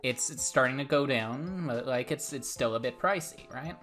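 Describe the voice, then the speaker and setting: A man with a Portuguese accent co-hosting a casual podcast, relaxed and chatty, often repeating words. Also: mid-range voice, moderate pace, soft background music. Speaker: podcast co-host. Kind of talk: casual chat.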